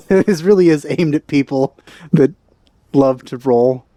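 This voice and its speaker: A man's voice: a little high, slow, warm tone, no echo. A man giving casual let's-play commentary in wry, musing asides. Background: game music.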